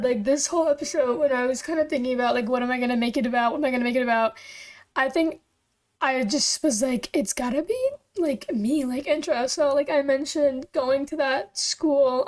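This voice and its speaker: A young woman from Pakistan hosting her own podcast, chatting alone in a rambling, candid way with lots of "like" and "um". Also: high voice. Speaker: podcast host. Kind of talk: casual solo chat. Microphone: own microphone.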